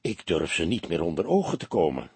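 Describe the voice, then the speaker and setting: A man voicing a wealthy, smooth businessman in an audiobook, formal and exclamatory in manner. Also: sits low, wildly swooping pitch, fairly quick, a little mellow, no room sound, voice pushed down, full character voice. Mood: shocked, distraught. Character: wealthy smooth businessman, theatrically distraught, then affably condescending. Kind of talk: audiobook character voice.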